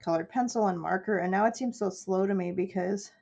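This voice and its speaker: A woman's voice: mid-range voice, a little fast, vocal fry, warm tone. A woman with a stuffy nose from a cold, chatting casually and explaining her steps as she paints for a live stream. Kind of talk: casual chat while painting.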